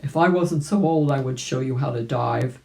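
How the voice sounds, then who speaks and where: A woman voicing an old, slightly grumbly grandfather frog in a children's storybook, in a measured way. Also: rumbling low, creaky, mellow.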